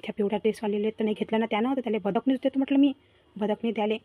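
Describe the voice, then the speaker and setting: Woman voicing a high, mischievous village boy. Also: steady tone, racing, no room sound, phone-quality sound, natural voice. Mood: muttering worry. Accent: Varhadi Marathi. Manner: chattering, teasing. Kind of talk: cartoon comedy acting.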